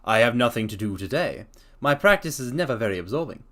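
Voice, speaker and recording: A man's voice: mid-range voice, sing-song, fast, fairly bright tone. A man voicing a plain-spoken, frank male doctor companion in a storybook reading.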